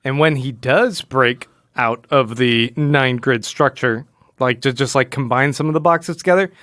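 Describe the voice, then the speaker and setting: A man co-hosts a podcast in a casual, conversational way. His voice sits at a middle pitch and his wording is informal and slangy. The sound is dry, with little room in it. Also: measured pace, fairly bright tone, no room sound. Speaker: podcast co-host. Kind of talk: casual comic book discussion.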